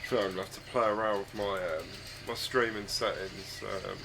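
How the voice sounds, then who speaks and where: A man with a husky, croaky voice, hoarse from a chest cold, chatting casually and rambling hesitantly while streaming a game from home on a desk microphone. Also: on the low side, steady tone, brisk, noisy background. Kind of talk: casual live-stream chat. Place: home room with his dog.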